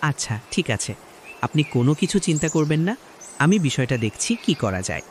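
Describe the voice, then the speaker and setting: A man as an animated village mortuary worker. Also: a little high, fast talker. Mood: businesslike. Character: businesslike village post-mortem worker, reassuring man. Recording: insect noise behind voice.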